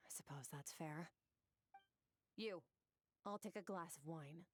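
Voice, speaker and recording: A woman playing a cool, aloof warrior master in a video game, speaking in a terse, detached, deliberate way. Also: low-pitched, husky. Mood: cold, blunt. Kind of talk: dramatic video game voice acting.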